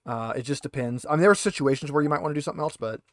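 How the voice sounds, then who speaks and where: A man's voice: a little high, very fast, dry recording, studio quality. A man acting as game master, chatting casually and explaining the rules step by step. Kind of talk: casual tabletop game chat.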